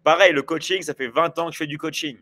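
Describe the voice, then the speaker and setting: A man's voice: a little high, fairly even intonation, fast talker, sharp tone. A man in his forties hosting a casual livestream, chatting loosely with viewers and rambling with many hesitations and restarts. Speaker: livestream host.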